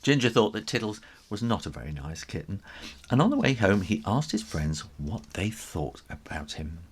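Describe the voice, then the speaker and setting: A man reading a children's story aloud in an expressive, animated style with conversational asides. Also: on the low side, normal speed, husky. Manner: expressive, with chuckles and spoken asides.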